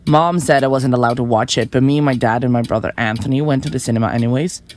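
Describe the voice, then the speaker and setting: A woman playing a flippant, self-important young murderer in an audio drama, glib and quick to get defensive. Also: a bass voice, a little mellow, slight background noise. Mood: smug enthusiasm. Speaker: murderous podcaster in a therapy session. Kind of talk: audio drama acting, conversational.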